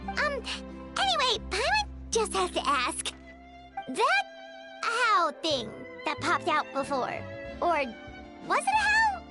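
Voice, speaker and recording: Tiny fairy girl, very high squeaky childlike voice, swooping intonation, excitable, dramatic, video game companion. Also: slow pace, over music, exaggerated voice. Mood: curious. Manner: refers to herself in third person, exclamatory, dramatic. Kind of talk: animated video game voice acting.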